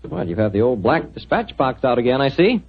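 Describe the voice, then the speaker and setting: A man working as an old-time radio announcer and host, speaking in a bright, friendly and eager way. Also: mid-range voice, big pitch swings, brisk, slightly rough. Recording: dull 1940s radio recording.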